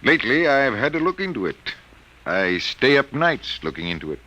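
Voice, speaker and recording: An actor playing an irritable, troubled middle-aged American college professor in a hesitant, muttering, old-time radio drama style. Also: male voice, mid-range voice, big pitch swings, normal speed, bright, forceful tone. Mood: weary, apologetic. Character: absent-minded, troubled, irritable male college psychology professor. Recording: muffled vintage radio recording.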